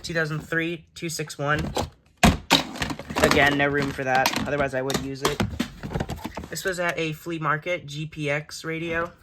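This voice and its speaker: A young man giving a casual, unscripted show-and-tell, halting and matter-of-fact as he lists items. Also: on the high side, fairly even intonation. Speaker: hobbyist collector giving a tour. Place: indoors in a room, handling plastic bins. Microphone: handheld phone camera. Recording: noisy handheld recording with clattering.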